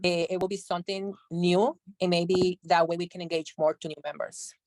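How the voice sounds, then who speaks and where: Low-voiced woman sharing her experience. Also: flat delivery, darkish tone, low-quality recording. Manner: personal, earnest, halting phrasing. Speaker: member in a meeting. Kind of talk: sharing experience in a group discussion.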